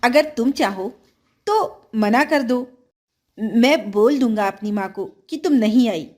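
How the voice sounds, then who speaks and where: A woman's voice: on the high side, measured pace, a little mellow, pitched below the performer's own voice. A woman voicing a kind, obedient young boy in a children's story, in a polite, sincere and mild manner.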